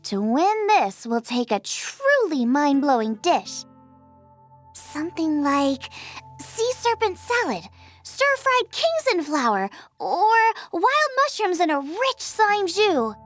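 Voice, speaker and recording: A woman's voice: very high voice, sing-song, takes it slowly, faint music behind, heavily acted. A woman voicing a bubbly, adventurous teenage girl chef in a video game, eager and confident, often exclaiming. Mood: enthusiastic, persuasive. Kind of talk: video game character acting.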